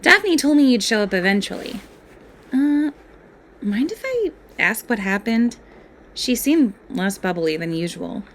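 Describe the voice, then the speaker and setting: A woman acting a playful, nerdy, excitable fairy student with a dramatic storytelling manner in an amateur voiced roleplay. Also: a little high, unhurried, slight background noise. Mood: playful, chatty.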